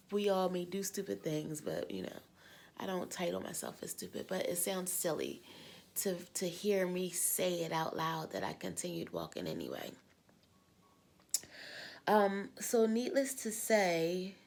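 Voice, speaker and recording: A middle-aged woman with a husky voice, coming down with a cold, talking casually and rambling in her vlog. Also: on the low side. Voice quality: husky smoker's-like voice. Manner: rambling, repeats words, sighs often. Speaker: vlogger. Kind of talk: casual personal vlog talk.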